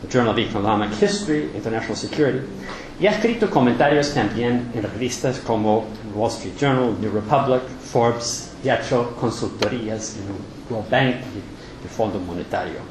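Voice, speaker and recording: A man introducing a guest speaker, calmly listing the guest's credits and work. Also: medium pitch, normal speed. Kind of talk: formal introduction. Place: lecture hall. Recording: roomy hall with background noise.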